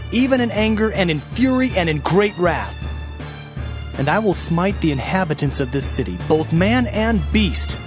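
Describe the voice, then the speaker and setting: Solemn, higher-pitched prophet of doom, played by a man. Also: natural voice. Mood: stern, wrathful. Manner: solemn, declamatory, archaic phrasing. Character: anguished, fiery Hebrew prophet pronouncing doom. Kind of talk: dramatised scripture reading.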